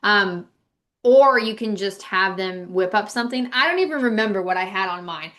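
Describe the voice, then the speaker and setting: A woman with a very bright, forward voice at a medium pitch talks casually as a podcast co-host. She is chatty and informal, reviewing places with plain opinions in an everyday conversational style. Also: moderate pace. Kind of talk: casual podcast chat about travel.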